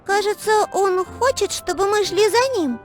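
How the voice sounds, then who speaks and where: A very high-voiced little cartoon girl, cheerful and caring. She speaks slowly and eagerly, with clear articulation, over faint music. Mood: thoughtful, friendly. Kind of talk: children's educational cartoon acting.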